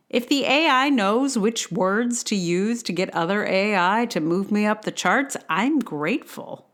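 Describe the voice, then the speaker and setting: A woman reading a scripted personal essay in a wry, candid way with measured emphasis. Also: medium pitch. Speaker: writer and podcaster reading an essay.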